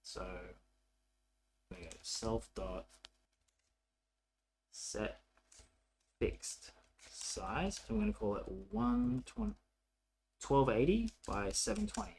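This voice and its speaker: Man, tutorial presenter, casual and halting, lively shifting pitch, faint hum and noise behind. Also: creaky. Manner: halting, thinks aloud while working. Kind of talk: casual coding walkthrough, unscripted.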